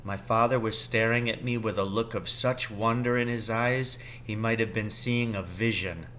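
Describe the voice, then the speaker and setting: Bright-voiced man reading a story. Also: on the low side, fairly even intonation, normal speed, slight background noise. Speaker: story reader. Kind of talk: expressive storybook narration.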